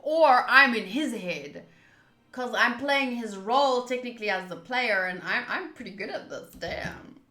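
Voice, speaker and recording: A woman's voice: on the high side, expressive, measured pace, bright, forceful tone. A young woman game streamer reading game dialogue aloud and adding playful, self-mocking casual commentary. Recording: roomy sound over game music. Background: faint game music.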